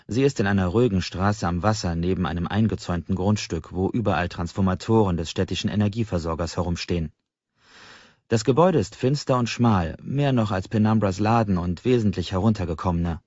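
A man's voice: low voice, a little mellow, no echo, muffled recording. A man narrating a first-person novel as an audiobook in a scripted literary reading style.

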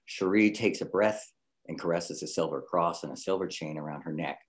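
Casting: male narrator with a very deep register for screenplay directions. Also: normal speed. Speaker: reader of stage directions.

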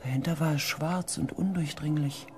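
An actor playing a shaken space expedition member in a radio drama, with a husky, breathy voice and a hushed, halting manner. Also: male voice, a little high, steady tone, slight background noise, close to an everyday voice. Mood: tense, hushed. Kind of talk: radio drama narration and acting.

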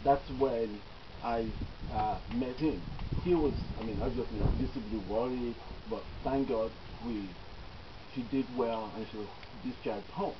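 A man's voice: medium pitch, a little slow. A middle-aged man with a Nigerian accent, a physician giving an earnest eulogy with many fillers, recorded outdoors by a far camera. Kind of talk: personal eulogy to an outdoor gathering. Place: outdoors under a tent on a grass field. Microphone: far camera, no mic. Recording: dull lo-fi camera audio with loud outdoor noise.

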